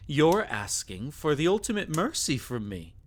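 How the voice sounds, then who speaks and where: A man's voice: on the high side, very animated, moderate pace. A man playing a sadistic, possessive vampire in intimate ASMR roleplay, theatrical and taunting, often dropping into a whisper. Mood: gloating. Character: sadistic, possessive male vampire toying with a captive.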